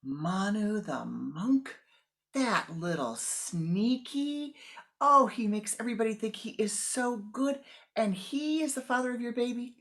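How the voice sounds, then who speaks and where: A woman voicing outraged village parents who yell accusations at a monk. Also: fairly high pitch, expressive, unhurried, pitched above the performer's own voice. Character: furious shouting village parents, shamed.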